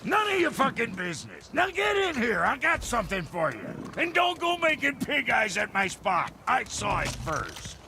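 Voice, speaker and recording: An actor playing a brash, cantankerous dwarf shopkeeper in a video game, gravelly and boastful with folksy delivery. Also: male voice, very high-pitched, wildly swooping pitch, normal speed, hardly any pauses, background noise, full character voice. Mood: gruff, teasing. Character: brash, foul-mouthed male dwarf blacksmith shopkeeper. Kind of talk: comic video game character acting.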